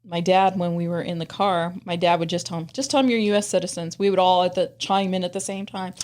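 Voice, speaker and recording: A woman in her fifties, a podcast guest chatting warmly about her childhood and laughing often. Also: lowish voice, little pitch movement, fast. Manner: warm, laughs often while reminiscing. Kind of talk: casual reminiscing chat.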